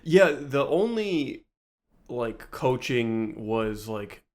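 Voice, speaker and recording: Man co-hosting, casual mid-pitched voice. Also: sing-song, extremely slow, a little mellow. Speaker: podcast co-host. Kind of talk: casual chat.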